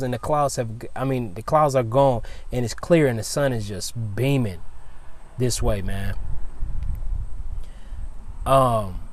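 Young man preaching casually outdoors. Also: mid-range voice. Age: 20s. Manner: preachy, repeats phrases and says "man". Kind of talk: informal devotional sermon.

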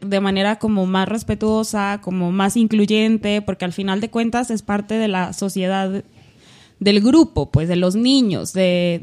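A woman's voice: medium pitch, darkish tone. Conversational woman host. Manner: conversational, asks questions, thinks aloud. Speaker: podcast host. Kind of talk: casual podcast conversation.

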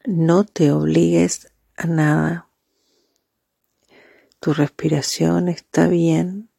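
Very breathy, slow meditation guide. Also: mid-range voice, level tone, dark tone, no echo. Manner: deliberate, with marked pauses.

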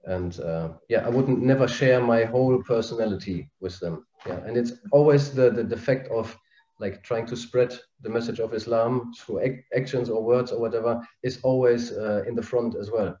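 Echoey, halting man. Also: fairly low pitch, warm tone. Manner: halting, searching for words. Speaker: interviewee, panel participant. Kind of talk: informal discussion, personal reflection. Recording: dull lo-fi, echoey room.

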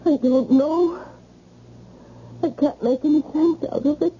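An actress playing a terrified, tearful young housemaid in an old-time radio drama, speaking haltingly. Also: female voice, high voice, dark tone. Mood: tearful, panicked. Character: terrified young housemaid who killed her attacker. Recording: muffled, hissy vintage radio broadcast.

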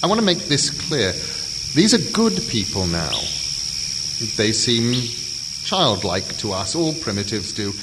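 An earnest, learned man in an audio drama, laying out ancient history with halting emphasis. His pitch moves freely as he poses rhetorical questions. The voice rings in long reverb under loud ambient noise. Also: sing-song. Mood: earnest, confiding. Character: earnest, scholarly man named Rob expounding ancient island history.

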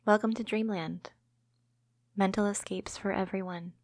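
Calm woman guiding meditation, evenly pitched. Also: no room sound. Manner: gentle, calming, measured delivery. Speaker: host and narrator of a guided meditation podcast. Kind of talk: scripted guided imagery meditation narration.

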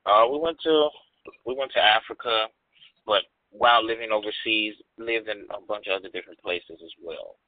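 Man, 40s, low voice, forceful and repetitive delivery; dry, tinny phone-quality audio. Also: moderate pace, no room sound. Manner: emphatic, repeats phrases for stress. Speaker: guest. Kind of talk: candid podcast conversation.

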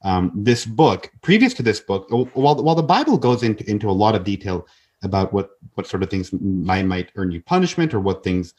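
A man's voice: fairly low pitch, very animated, a little fast, darkish tone, slightly rough. A man in his twenties, a podcast guest talking earnestly in casual conversation, often restarting and repeating words. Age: 20s.

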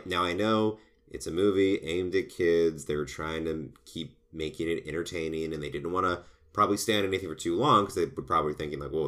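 A man in casual movie-review chat, rambling with frequent restarts and animated asides. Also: low voice. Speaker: film review podcast co-host.

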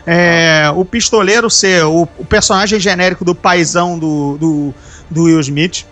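A podcast host and novelist with a Brazilian accent, chatting casually, animated and quick to swear, often restarting sentences. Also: mid-range voice, soft background music. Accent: Brazilian Portuguese. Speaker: author of a novel.

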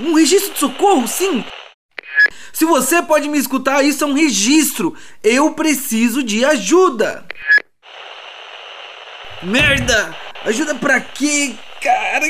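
A man with a Brazilian accent playing a flustered, foul-mouthed merchant stranded in wreckage, talking haltingly and agitatedly in an audio drama. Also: very high-pitched, background noise. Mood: frantic, frustrated. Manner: agitated, halting, swearing, muttering to himself. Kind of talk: audio drama distress message monologue. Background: music.

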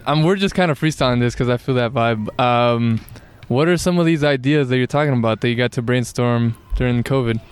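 A man's voice: mid-range voice, fairly quick, clear, resonant tone. A man hosting a podcast, asking meandering, loosely phrased questions with plenty of "um" and "like.". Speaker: podcast host. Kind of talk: casual interview chat.

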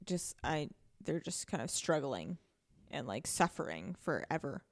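A woman's voice: deep voice, sing-song, a little slow. A woman co-hosting a podcast, chatting casually and informally about a game. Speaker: podcast co-host. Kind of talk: casual chat.

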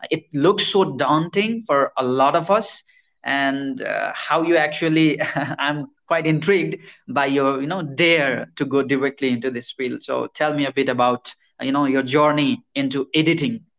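A man's voice: mid-range voice, normal speed, vocal fry, a bit bright. A man who interviews a guest, giving encouraging backchannels and asking rambling questions full of fillers.

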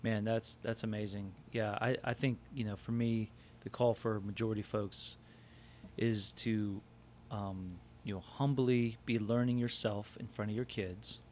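Affirming low-voiced male co-host. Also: steady tone, measured pace, slight background noise. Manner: affirming listener, frequent agreement. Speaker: podcast co-host. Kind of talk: conversational discussion.

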